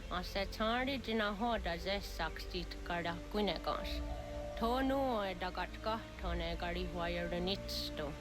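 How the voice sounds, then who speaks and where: A woman's voice: lowish voice, measured pace, close to an everyday voice. An actress playing a solemn woman in a historical adventure video game. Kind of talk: dramatic video game voice acting. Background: music.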